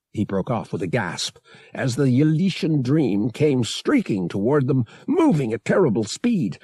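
A man's voice: fairly high pitch, darkish tone, husky, no room sound, clean studio sound. A man reading an adventure novel as an audiobook narrator, in a dramatic scripted style.

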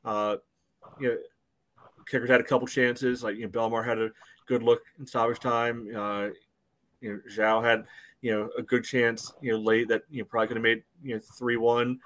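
A man's voice: medium pitch, level tone, normal speed, fairly bright tone. An American man hosting a sports podcast, recapping a game and leading the talk, with constant "you know" fillers. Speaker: podcast host. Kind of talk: casual sports chat.

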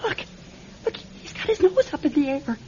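An American actress playing a nervous, secretive, sharp-tongued young woman stranded as a passenger in a radio drama. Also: sits high, big pitch swings, moderate pace. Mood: frightened. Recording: dull, noisy old radio recording.

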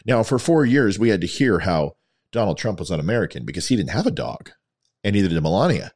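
Male commentary host, lower register, lightly worn and scratchy, emphatic theatrical delivery. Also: big pitch swings, fast, a little mellow. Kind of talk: opinion commentary monologue to an audience.

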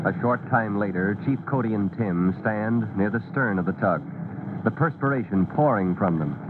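A man's voice: lowish voice, moderate pace, very noisy. Male narrator on tinny old radio. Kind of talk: dramatic scripted old-time radio narration.

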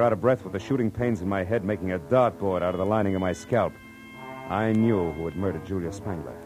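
An actor playing a tough, wry private detective in an old radio drama, with a terse, wisecracking delivery. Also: male voice, sits low, close to an everyday voice. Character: hard-boiled, wry male private detective. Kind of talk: 1940s radio drama acting and narration. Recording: noisy old radio recording.

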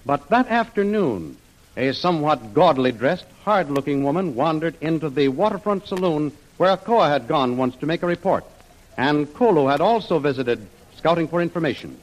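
A man narrating an old radio adventure serial in a measured, dramatic storytelling manner. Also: a little high, lively intonation, lightly acted, low-quality recording.

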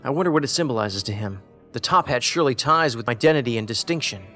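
An actor playing an analytical, aloof federal investigator in an audio drama, with a deliberate, cerebral manner. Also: male voice, mid-range voice, lively intonation, sharp tone, faint music behind. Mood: pensive.

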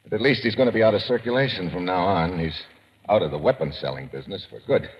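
An actor playing a tough, wry adventurer in an old radio drama, talking in terse, hard-boiled slang. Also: male voice, fast talker, natural voice. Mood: wry, dry. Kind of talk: 1950s radio drama acting. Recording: old radio recording, muffled, boomy reverb, hiss.

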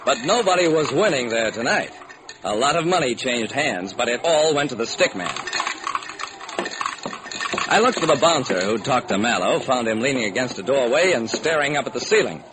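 A man's voice: mid-range voice, lively intonation, normal speed. Noisy old-radio man, swooping hard-boiled narration. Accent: American. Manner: hard-boiled, theatrical storytelling. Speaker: narrator and solo performer of a detective radio series. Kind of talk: dramatic scripted first-person detective narration, old-time radio.

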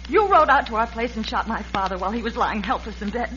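A woman's voice: fairly high pitch, lively intonation, fast talker, a bit bright. A woman playing a bitter, accusing young American ranch daughter in old-time radio melodrama. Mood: angry accusing. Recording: noisy, muffled vintage radio broadcast.

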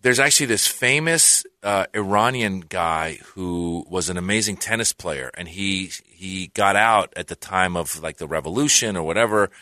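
A man from Iran, a comedian guest, telling a rambling, animated story in casual chat, with restarts and acted-out quotes. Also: sits low, moderate pace, hardly any pauses, dry recording.

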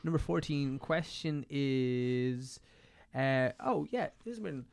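A man's voice: medium pitch, takes it slowly, creaky, darkish tone, no echo. Man, casual podcast host, filler-heavy and hesitant, dry studio sound.

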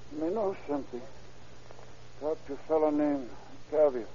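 A man's voice: on the high side, moderate pace, dark tone, natural voice. An actor playing a half-conscious man who murmurs tips in drifting short phrases, in an old-time radio drama. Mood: dazed. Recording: muffled, noisy vintage radio recording.

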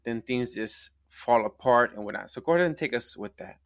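A man's voice: medium pitch, animated, brisk, creaky, no echo, clean studio sound. A man hosting a live interview podcast, speaking enthusiastically and emphatically, repeating himself and checking in with tag questions. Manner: repetitive, emphatic, often tags phrases with "right?". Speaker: podcast host.

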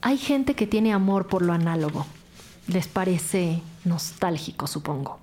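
A woman's voice: lowish voice, unhurried, warm tone, close to an everyday voice. A woman playing a methodical, level-headed police detective in an audio drama. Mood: thoughtful.

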